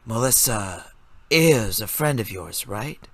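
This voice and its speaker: A performer voicing a calm, reserved, pale blue male character with a low-key manner in a story reading. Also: takes it slowly, no echo. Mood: questioning.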